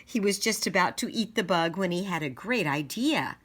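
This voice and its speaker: A woman teaching young children, reading aloud and sounding out letters and words deliberately. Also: on the low side, animated, fairly bright tone. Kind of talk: storybook read-aloud and phonics lesson.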